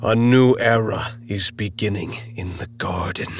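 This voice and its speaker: An actor playing a calmly menacing masked villain, slow, deliberate and portentous, in an audio drama. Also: male voice, lowish voice, soft background music, natural voice. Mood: calm menace.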